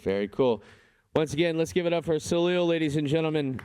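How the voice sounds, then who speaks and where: A man's voice: fairly high pitch, expressive, a little fast, darkish tone. Upbeat man hosting a live event. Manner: upbeat, hypes the crowd. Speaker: event host.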